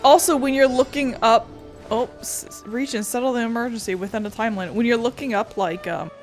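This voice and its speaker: Blunt, high-voiced woman streamer rambling casually. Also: brisk. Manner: blunt, sweary, sarcastic. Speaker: game streamer. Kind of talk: casual, rambling gameplay commentary. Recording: music behind the voice.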